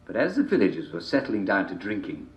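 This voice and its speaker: Theatrical male storyteller, widely swinging pitch. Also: wildly swooping pitch. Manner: theatrical, deliberate, with repeated phrases and dramatic pauses. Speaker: storyteller reading a folk tale. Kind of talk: dramatic fairy tale storytelling. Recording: dull, roomy, echoing home recording.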